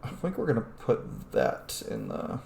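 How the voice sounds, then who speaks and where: Casting: sweary, opinionated male streamer, mid-pitched and very expressive, casual gameplay chat in a roomy recording. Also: measured pace, creaky, slight background noise. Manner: opinionated, profane. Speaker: game streamer. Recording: roomy sound with faint game music.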